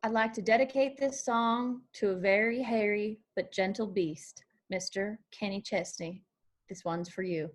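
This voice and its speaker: A woman's voice: mid-range voice, fairly even intonation, measured pace, lightly acted. A woman playing a wry, wistful young bar waitress in a screenplay table read, dry and folksy. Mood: playful, tender.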